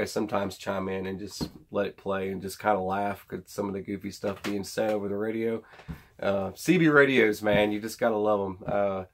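A man in his thirties with a low voice and plenty of creak. He talks informally, like someone showing gear at his own workbench, wandering from point to point in a folksy, unscripted way. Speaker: host of a gear review channel. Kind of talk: casual product unboxing and review chat. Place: indoor home workshop.

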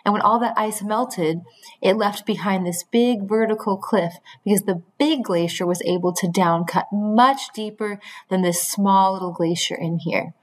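A woman's voice: mid-range voice, fairly even intonation, normal speed. Middle-aged woman teaching at a whiteboard. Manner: explains step by step while drawing, with frequent fillers. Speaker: geology teacher. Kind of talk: whiteboard lesson. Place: small room at a whiteboard. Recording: echoey room with faint noise.

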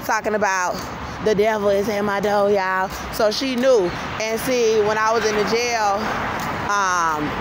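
An American woman speaks at a middle pitch in an insistent, emphatic monologue, circling back over her phrases. She is walking outdoors with a handheld phone, and clear street and traffic noise sits behind her voice. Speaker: vlogger. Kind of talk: livestream-style monologue to camera while walking. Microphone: handheld phone at arm's length. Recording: noisy phone recording on city street.

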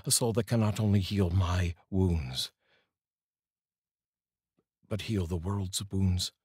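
A middle-aged man speaking in an earnest, deliberate way, like a host reading poetry aloud, up close to a studio microphone. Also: low-pitched, a little mellow, husky, dry recording. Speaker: host and voice-over reader. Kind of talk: solemn, measured poetry reading and personal address to viewers. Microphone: large studio microphone, up close.

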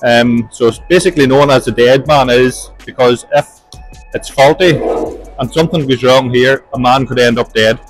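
A man's voice: medium pitch, moderate pace, faint music behind, rough recording. Man touring a workshop. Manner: plain, practical, explaining step by step. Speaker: workshop manager giving a tour. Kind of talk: walking tour explanation. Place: large industrial workshop indoors.

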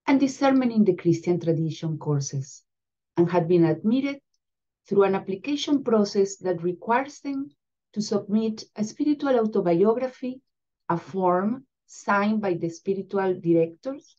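Middle-aged woman, Venezuelan Spanish accent, native Spanish speaker; mellow tone, careful, steady delivery; webcam sound, a bit muffled. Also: medium pitch, measured pace. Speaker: spiritual direction supervisor. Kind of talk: prepared introduction to a program. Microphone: video call webcam.

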